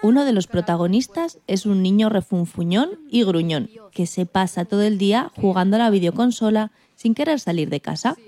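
Woman w reading out a radio script, soft dark tone, medium pitch. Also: animated, normal speed, few pauses. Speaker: radio co-host. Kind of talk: scripted narration read aloud.

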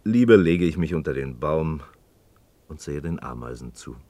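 A man's voice: extremely low pitch, lively intonation, moderate pace, dark tone, no echo. An actor playing an inquisitive, skeptical man in a radio play, speaking articulate, rhetorical in philosophical dialogue. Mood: musing.

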